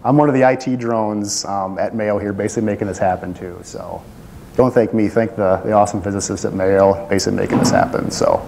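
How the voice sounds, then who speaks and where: Low-voiced man giving a casual tech talk. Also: fairly even intonation, fairly quick, creaky, background noise. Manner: casual, self-deprecating, many fillers and restarts. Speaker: conference presenter, IT staff. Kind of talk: technical conference talk with Q&A. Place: conference room.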